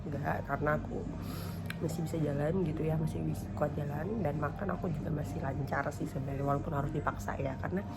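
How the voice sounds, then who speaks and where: Low-voiced woman vlogger. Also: fairly even intonation, fast talker. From Indonesia. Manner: conversational, repeats and rephrases, ends phrases with "gitu". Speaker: beginner vlogger. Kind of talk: casual personal vlog storytelling. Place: hospital isolation room. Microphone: handheld camera, later set down in front of her.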